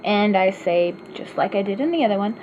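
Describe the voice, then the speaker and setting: A woman's voice: mid-range voice, lively intonation, fairly quick. A woman with an Arkansas accent, chatting casually and rambling in a self-deprecating way in a home vlog. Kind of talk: casual vlog answering questions. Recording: slightly dull home recording with faint hiss.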